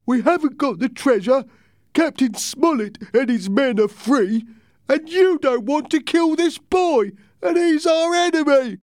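Rough pirate crewman, rebellious, angry, high strained pitch, storybook. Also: unhurried, few pauses, no room sound, clean studio sound.

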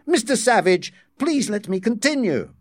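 A man's voice: high-pitched, sing-song, normal speed, dry recording, clean studio sound, pitched above the performer's own voice. A man reading an audiobook as a flustered male official who is formal, polite and trying to keep order. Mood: uneasy, placating.

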